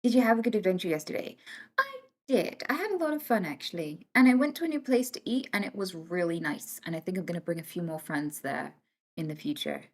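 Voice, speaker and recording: Young British female streamer, casual and teasing, with a lively, swinging delivery. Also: on the low side, fast. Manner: chatty, teasing, thanks viewers warmly by name. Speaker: livestream host. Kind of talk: casual chat with live viewers.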